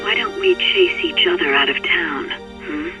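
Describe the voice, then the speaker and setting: A flirtatious cat burglar who teases over a tinny phone line. Her delivery is sultry and playful, with very lively swings in pitch. Game music plays under her. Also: normal speed, vocal fry, heavily acted. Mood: teasing. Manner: sultry, teasing. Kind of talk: video game cutscene.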